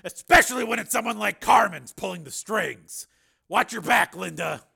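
A man voicing an angry, commanding renegade police lieutenant in an audiobook, with forceful, clipped, demanding delivery. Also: sits high, lively intonation, moderate pace, creaky, breathy edge, pitched above the performer's own voice, full character voice. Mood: grim, firm. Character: defiant male cyborg police lieutenant on the run, commanding, angry.

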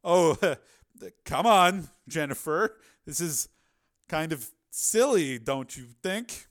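A man's voice: mid-range voice, a little slow, fairly bright tone, smooth, lightly acted. A man voicing a nervous, easily startled man in an audiobook, stammering as he protests. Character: nervous, startled powerful man in over his head.

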